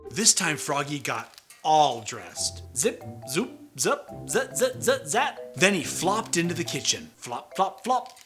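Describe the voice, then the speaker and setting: A man co-hosting and narrating an animated children's read-aloud, with joking asides. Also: on the high side, slow pace. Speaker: co-host and storybook narrator. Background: music.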